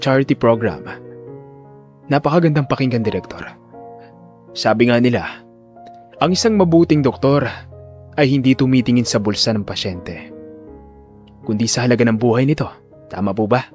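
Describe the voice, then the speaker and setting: A male neurosurgeon out for revenge, voiced by a man narrating speaks with cold, controlled politeness. The audio drama voice is medium in pitch and calculating, over faint background music. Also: lively intonation, normal speed, long pauses, darkish tone, natural voice. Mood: polite, veiled sarcasm.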